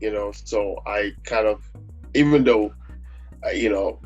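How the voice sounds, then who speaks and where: A Nigerian man, a gospel singer, talking in a relaxed interview, rambling with many fillers and restarts. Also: on the low side, a little slow. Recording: dull lo-fi audio with faint music.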